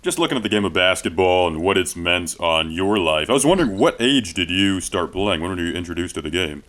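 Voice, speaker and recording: A man with a low voice hosts an interview, asking his questions in a measured, thoughtful way. His tone is bright and forceful. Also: animated. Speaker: interview host.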